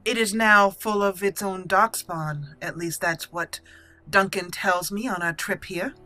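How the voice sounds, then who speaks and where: A woman voicing an earnest, formal young noblewoman mage in a game roleplay, slow and careful in her words. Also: medium pitch, pushed and bright, soft background music. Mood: respectful.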